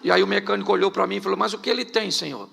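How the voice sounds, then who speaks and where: A man's voice: sits high, rapid-fire, gravelly, sharp tone. A middle-aged man from Rio de Janeiro with a Carioca accent, preaching a humorous live sermon with jokey stories and rhetorical questions to the congregation. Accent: Carioca Portuguese. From Rio de Janeiro, Brazil. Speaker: pastor. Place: church hall before a congregation.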